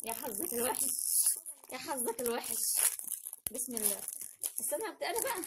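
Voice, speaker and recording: A woman's voice: high voice, very animated, quick pace. A young woman with an Egyptian Arabic accent, chatting casually and playfully as the host of a home challenge video. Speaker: vlog host. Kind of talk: casual unboxing challenge chat. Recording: noisy home recording, crinkling packets.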